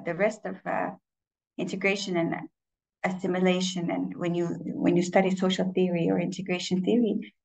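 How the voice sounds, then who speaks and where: Woman in her 30s, English speaker, low gravelly voice, reflective presentation delivery. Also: darkish tone, low-quality recording. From Estonia. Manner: reflective, with frequent filler pauses and restarts. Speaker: conference speaker. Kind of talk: personal presentation talk.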